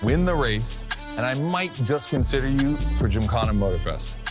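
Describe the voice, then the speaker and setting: A man's voice: mid-range voice, animated, normal speed, close to an everyday voice. A man playing an upbeat racing mentor and car-shop boss in a video game cutscene, talking informally and instructively. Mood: motivating. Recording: loud music behind the voice.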